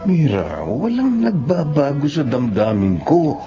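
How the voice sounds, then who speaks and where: A man's voice: fairly high pitch, very animated, measured pace, background noise. A man playing a steadfast, reassuring fiancé in a Filipino radio drama. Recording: dull lo-fi audio under loud music.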